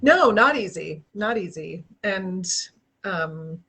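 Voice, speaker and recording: Host, woman, husky tone, very animated pitch, giggly, video-call chat. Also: slow. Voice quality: relatively low voice. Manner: laughs often, trails off and restarts. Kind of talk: casual interview chat.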